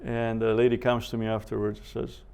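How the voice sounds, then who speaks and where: A man teaching a Bible seminar as a professor, speaking in a measured way with deliberate pauses, scripture quotations and rhetorical questions. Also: mid-range voice, little pitch movement, creaky, warm tone, clean tone.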